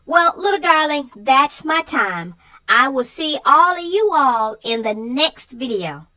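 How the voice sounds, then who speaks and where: A woman's voice: sits high, expressive, unhurried, bright, forceful tone. A woman chatting casually and emphatically as a guest co-host on a children's book show. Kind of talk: casual chat about a picture book.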